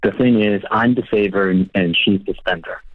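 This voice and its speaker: A man's voice: lowish voice, no echo. Hesitant man on a tinny phone line. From United States. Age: 30s. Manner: measured, hesitant, keeps explaining himself. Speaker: radio show caller.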